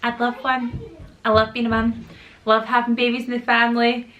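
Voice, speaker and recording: A woman's voice: a little high, monotone, normal speed, a bit bright, highs cut off, slight background noise. A woman in her thirties with a Glaswegian Scottish accent, chatting casually to camera at home, rambling and slangy, with a slightly sore throat. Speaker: YouTuber and mum. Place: at home with children nearby.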